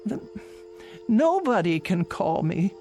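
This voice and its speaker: A woman's voice: medium pitch, big pitch swings, takes it slowly, dark tone, soft background music. A woman playing an anxious, demanding older invalid in a suspense radio drama, fretful and imperious in manner. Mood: bewildered, fretful.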